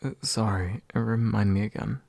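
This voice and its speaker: A man playing a courteous, gentle demon in a soft ASMR roleplay, politely formal and a little hesitant. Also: fairly low pitch, fairly even intonation, moderate pace, creaky, dry recording. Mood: musing, genial. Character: courteous, gentle male demon offering comfort to his summoner. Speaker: ASMR voice actor.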